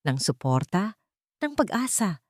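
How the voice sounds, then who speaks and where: A woman narrates a scripted romance story in a fairly low voice. Her intonation rises and falls expressively, and the recording is dry and close. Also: sing-song, unhurried, a little mellow, no room sound.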